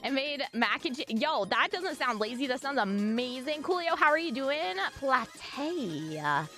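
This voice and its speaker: A woman's voice: sits high, sing-song, a little slow, shouting, over music. A woman streamer chatting bubbly with her live audience, greeting viewers by name and announcing a giveaway.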